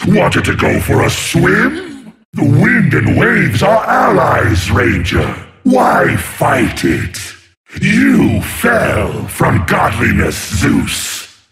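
A man voicing a huge, arrogant sea monster in a video game, taunting his foes with sneering, mocking lines and shouted outbursts. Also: high-pitched, gravelly, heavily acted.

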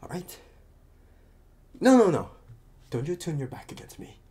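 A man playing a talkative, restless psychopath convict in an ASMR roleplay, protective and scheming, with halting, muttered asides. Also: on the high side, very animated, warm tone, slight background noise. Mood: insistent, protective.

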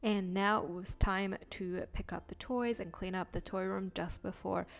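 A woman's voice: medium pitch, steady tone, normal speed, creaky, soft background music. Young mum chatting thru her vlog, easy explaining, speeds up when she explains stuff. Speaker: family vlogger. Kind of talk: casual vlog voice-over.

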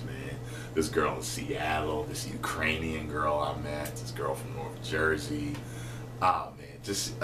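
A man in his thirties telling a story to camera in a casual, animated, profane way, with slang, in a small home kitchen. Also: rumbling low, recorded from a distance. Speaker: vlogger. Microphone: camera a few feet away. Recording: roomy echo, voice a bit off-mic, faint hiss.

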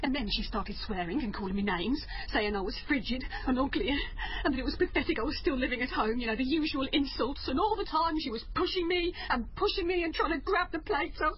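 An actress playing a resentful, distraught young daughter in a naturalistic radio drama. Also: female voice, sits high, a little fast, few pauses, muffled recording, a little hiss or hum, natural voice. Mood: distraught confession. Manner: resentful, emotional. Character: embittered, distraught daughter confessing and accusing her mother.

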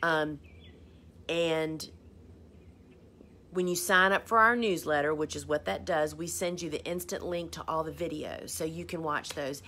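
A woman's voice: deep voice, unhurried, sharp tone, slight background noise. A woman, hosting a casual live stream and answering viewer questions in a chatty, rambling, self-deprecating way. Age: 50s. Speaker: host.

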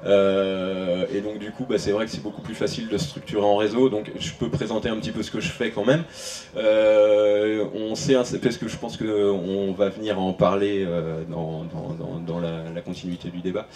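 A man's voice: fairly low pitch, level tone, moderate pace, few pauses, mellow, slight background noise. A man in his thirties from western France, a farmer answering questions on a panel, hesitant with many fillers and restarts, speaking into a handheld mic in a large tent. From France (Maine-et-Loire). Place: large tent at an outdoor event. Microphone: handheld microphone.

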